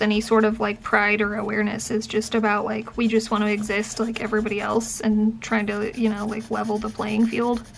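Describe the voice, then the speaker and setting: A woman's voice: medium pitch, creaky, a little hiss or hum, poor audio. A young adult woman talking casually to camera, chatty and full of fillers and restarts. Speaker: vlogger and professor. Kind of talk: casual chat.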